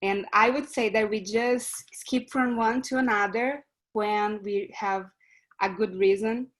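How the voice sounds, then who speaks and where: A woman's voice: mid-range voice, fairly even intonation, unhurried, a bit bright, low-quality recording. A woman from Brazil with a Brazilian Portuguese accent, giving an earnest, slightly hesitant self-introduction over a video call. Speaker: club member introducing herself. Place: online meeting.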